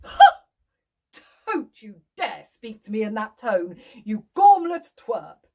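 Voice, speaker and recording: A woman's voice: medium pitch, expressive, takes it slowly. A woman voicing a pompous, prim male farmer who is overly formal and blustering. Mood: indignant. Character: pompous, haughty male farmer, middle-aged.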